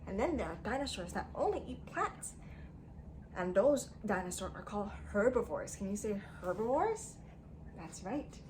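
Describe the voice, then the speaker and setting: Lively woman reading aloud to young children. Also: medium pitch, moderate pace, slight background noise, low-quality recording. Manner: simple child-directed phrasing, prompting listeners with questions; expressive, emphatic delivery. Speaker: children's storytime teacher. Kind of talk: read-aloud storytelling to young children with asides and questions.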